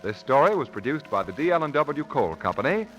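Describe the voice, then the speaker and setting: A man's voice: medium pitch, very animated, fairly quick, fairly bright tone, soft background music. A man announcing from a script in an emphatic, dramatic 1940s radio style. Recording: old 1940s radio broadcast recording.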